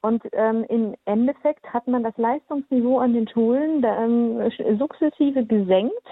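A woman's voice: a little high, normal speed, dry recording. A woman from Romania giving firm, articulate opinions on talk radio with frequent filler ums, heard over a tinny phone line. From Transylvania, Romania. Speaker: studio guest.

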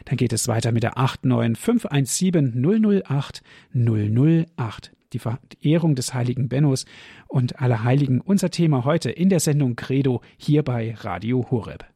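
A man hosting a religious radio call-in show, formally greeting callers and slowly reading out the phone number. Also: medium pitch, expressive, creaky, warm tone, husky, no room sound, studio quality. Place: radio studio.

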